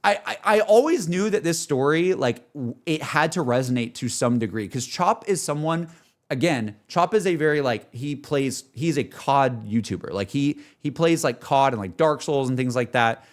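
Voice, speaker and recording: A man's voice: medium pitch, lively intonation, moderate pace. A man livestreaming, chatting casually about games in an earnest, emphatic, rambling way that often circles back on itself. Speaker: game streamer. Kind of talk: casual livestream chat, emotional game reflection.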